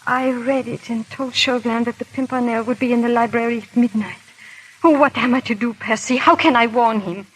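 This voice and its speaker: A woman's voice: on the high side, steady tone, a little fast, natural voice. A woman playing a proud, guilt-ridden aristocratic wife in a theatrical, urgent 1930s radio drama style. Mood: remorseful, distressed. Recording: crackly, hissy 1930s radio recording.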